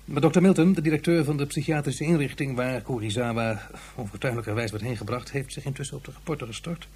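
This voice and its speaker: A man playing an earnest scientific official, formal and deliberate with some hesitation, in a science fiction radio play. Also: mid-range voice, a little mellow, slightly rough, close to an everyday voice. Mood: cautious, deferential. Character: earnest male scientific official reporting to superior.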